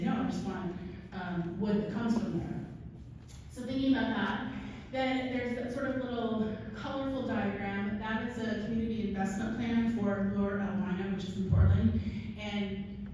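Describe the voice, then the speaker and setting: A middle-aged American woman gives a conversational conference talk at a podium. She sounds far from the microphone in a big, very reverberant hall, with faint noise behind her. Her delivery is informal, with frequent little check-ins to the listeners. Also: mid-range voice. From Oregon, United States. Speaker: keynote speaker, architect. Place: large hall, at a podium on stage. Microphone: gooseneck podium mic, filmed from audience distance.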